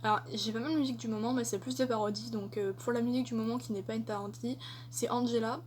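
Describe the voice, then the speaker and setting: A woman's voice: a little high, fairly even intonation, fast, a little mellow, a little hiss or hum. A young woman answering tag questions in a casual vlog, chatty and hesitant with many fillers. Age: young adult. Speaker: vlogger.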